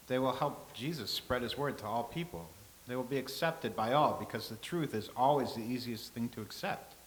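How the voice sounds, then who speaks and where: A man's voice: medium pitch, fairly bright tone, close to an everyday voice. Humble devout man, read by an actor, hissy recording. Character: humble earnest man from Nazareth, devout follower of Christ. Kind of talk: staged play reading. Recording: hissy, clearly noisy recording.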